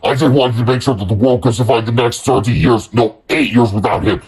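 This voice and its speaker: A man's voice: mid-range voice, a little fast, darkish tone, husky, pitched below the performer's own voice, lightly acted. A man voicing a boastful, menacing storybook villain bent on destroying a superhero. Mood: gloating.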